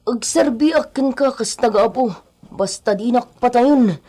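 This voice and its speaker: A woman's voice: a little high, a little slow, warm tone. A woman playing a meek, frightened captive who pleads falteringly for her life in a radio drama. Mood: fearful pleading.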